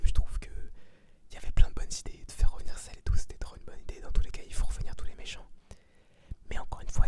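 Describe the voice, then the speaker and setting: An eighteen-year-old man whispering a casual, opinionated ASMR review in slangy, crude, informal language. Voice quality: breathy unvoiced whisper. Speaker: ASMR video creator giving a film review.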